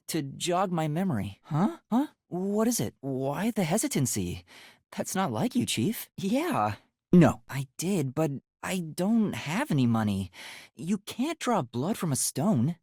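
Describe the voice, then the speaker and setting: A young male trainee detective from a video game, earnest and unsure of himself. His voice is light for a boy, husky and breathy, and swings widely in pitch as he hesitates or gets flustered. The recording is dry and close. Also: a little mellow, dry recording. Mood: hesitant, curious. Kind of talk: English video game voice acting.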